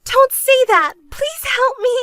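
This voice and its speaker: A woman's voice: very high-pitched, expressive, takes it slowly, a bit bright, dry recording, soft background music, pitched above the performer's own voice, full character voice. A woman voicing a spoiled, selfish younger sister in a dramatized story, whiny, melodramatic and pleading.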